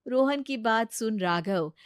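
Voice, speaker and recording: Female storyteller for family moral tales, fairly high voice, scripted narration, close dry recording. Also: expressive, takes it slowly, clear, resonant tone, no room sound.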